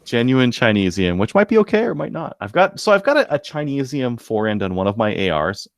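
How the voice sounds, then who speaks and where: A man's voice: medium pitch, sing-song, fairly quick, no room sound, clean studio sound. A man co-hosting a podcast, chatting casually and jokingly in a laid-back way. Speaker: podcast co-host. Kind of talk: casual banter about shooting and gear.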